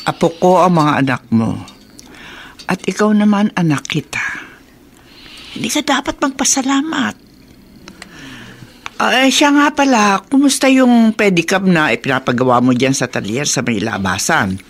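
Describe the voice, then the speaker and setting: An animated, caring old grandmother. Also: lowish voice, normal speed, pauses often, a little hiss or hum. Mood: concerned, tender. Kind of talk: radio drama acting.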